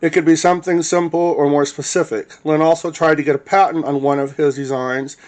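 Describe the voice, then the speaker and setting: A man with a fairly high-pitched voice reads a written witness statement aloud. He acts as the narrator, delivering prepared text. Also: steady tone, fairly quick, darkish tone.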